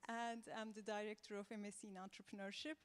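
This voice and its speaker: A woman's voice: medium pitch, little pitch movement, fast. Woman from turkey, turkish accent, conversational, echoey hall. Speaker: assistant professor on a panel. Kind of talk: round-table panel talk. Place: conference room.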